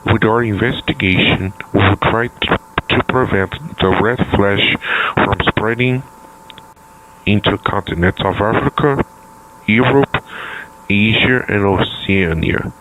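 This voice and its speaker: A man playing a solemn official who gives a measured, formal scripted address to the public about a catastrophe. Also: fairly low pitch, a bit bright, poor audio. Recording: faint background noise.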